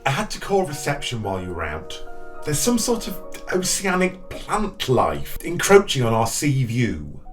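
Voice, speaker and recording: A woman's voice: very deep voice, huge pitch swings, normal speed, soft background music, full character voice. A woman playing an uptight, dry, easily embarrassed husband in an audio drama, speaking in a stiff, disapproving way. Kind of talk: amateur audio drama acting.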